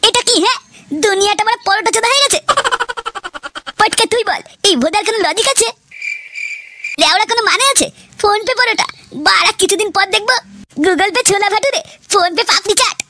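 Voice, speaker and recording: A man voicing a hot-headed, foul-mouthed young cartoon man who rants and swears constantly, through a pitched-up effect. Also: a little fast, long pauses, noisy background. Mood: furious ranting. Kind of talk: comedy cartoon dialogue.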